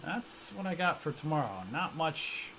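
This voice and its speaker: A man hosts a live trading stream, chatting loosely with viewers and tossing in jokes and slang as he reads their comments. His voice sits a little high for a man. A faint hum runs under the recording. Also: very animated, normal speed, sharp tone. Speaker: stock trading livestream host. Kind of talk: casual livestream chat reading comments.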